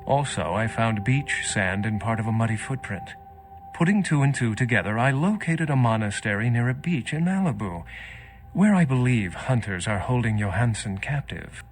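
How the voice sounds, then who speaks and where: An actor playing a wry, worldly old vampire scholar in a video game, speaking in an erudite, measured and sardonic way. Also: male voice, medium pitch, expressive, faint music behind, lightly acted, rough recording. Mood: matter-of-fact.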